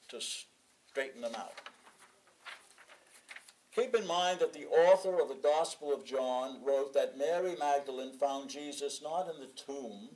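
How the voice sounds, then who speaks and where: A man reading a sermon from a prepared text in a measured, deliberate way, leaning on key phrases and pausing between them. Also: medium pitch, darkish tone, low-quality recording. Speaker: sermon reader in a church congregation.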